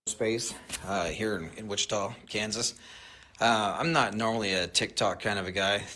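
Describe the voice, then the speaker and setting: A man who manufactures drones, giving his opinion in an earnest, measured and slightly halting way. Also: on the low side, pushed and bright. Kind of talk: selfie video opinion.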